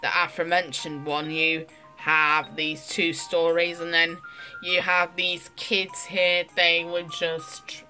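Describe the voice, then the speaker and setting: Young female toy reviewer, fairly low voice, unhurried and halting, faint music behind. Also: level tone, low-quality recording. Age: young adult. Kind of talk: unscripted toy demonstration.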